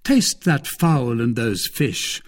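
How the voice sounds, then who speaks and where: A man's voice: mid-range voice, animated, slow pace. A man playing a mocking sardonic lord. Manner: taunting, ironic, gloating.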